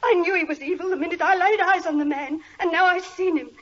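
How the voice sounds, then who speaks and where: High-pitched, frightened country housemaid. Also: female voice, fast talker, a bit bright. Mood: frightened, hysterical. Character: frightened, superstitious young country housemaid, excitable and gossipy. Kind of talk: 1940s radio acting. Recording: muffled, noisy old radio recording.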